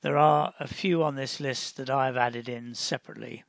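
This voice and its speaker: A man narrating a step-by-step software tutorial in a deliberate, instructional way, often pausing mid-sentence. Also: medium pitch, steady tone, gravelly, no echo, clean studio sound. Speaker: tutorial presenter.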